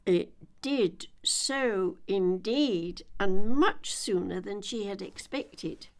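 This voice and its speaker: An older woman reading a children's story aloud in a measured, deliberate, expressive storytelling manner. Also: mid-range voice. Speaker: children's audiobook reader.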